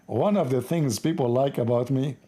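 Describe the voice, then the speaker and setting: A man with an Arabic accent reading aloud haltingly and breaking off for sarcastic, joking commentary. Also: mid-range voice, warm tone. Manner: halting, stumbling reading of English.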